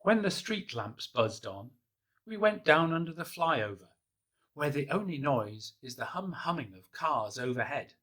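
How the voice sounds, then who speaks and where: A man gently reading a children's picture book aloud in the first person, in a soft, hushed and unhurried way. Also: on the high side, sing-song. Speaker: storybook reader.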